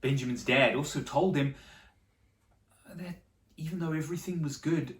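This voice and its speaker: A storyteller telling a Bible story to children, with dramatic pauses and repetition for emphasis. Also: mid-range voice. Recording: echoey room, distant microphone.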